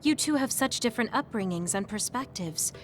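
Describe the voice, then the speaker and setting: A woman playing an understanding, reassuring teammate in an anime game dub, speaking in a gentle, encouraging manner. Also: on the high side, animated, fairly quick, a little hiss or hum, natural voice.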